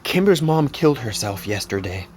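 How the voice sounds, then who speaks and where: A man plays a teenage boy in a naturalistic audio drama, blunt and weighed down by guilt. He speaks haltingly in a mid-range voice, with sighs and swearing. There is audible ambience behind him. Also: medium pitch, sing-song, a little hiss or hum, lightly acted. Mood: shaken, heavy. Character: distraught teenage boy, blunt, guilt-ridden best friend.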